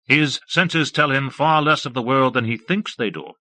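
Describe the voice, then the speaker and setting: Unflappable male wizard, voiced by a man, cold and measured, bright-toned and dry. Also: medium pitch, no echo, pitched above the performer's own voice, lightly acted. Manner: measured, flat, lecturing.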